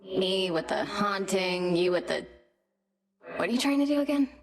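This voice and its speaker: A sardonic female ghost voiced by a woman for a video game, teasing and sarcastic. The medium-pitched voice trails into long reverb. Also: expressive, normal speed, close to an everyday voice. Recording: long reverberant hall effect.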